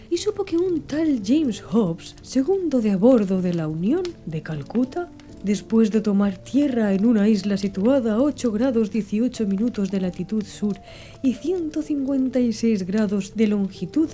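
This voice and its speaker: A woman narrating a literary audiobook in a measured, formal reading style. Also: a little high, mellow.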